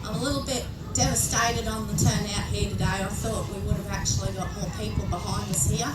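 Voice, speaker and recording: A middle-aged Australian woman giving an emotional, plain-spoken speech at an outdoor rally, halting now and then with fillers. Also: medium pitch, steady tone. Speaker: grieving mother speaking at a rally. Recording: distant echoey outdoor PA recording, loud ambient noise.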